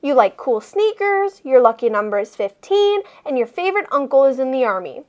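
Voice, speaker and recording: A woman voicing an enthusiastic schoolteacher who is fond of her pupils and speaks in emphatic, deliberate lists. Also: sits high, big pitch swings, a little mellow. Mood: knowing, excited.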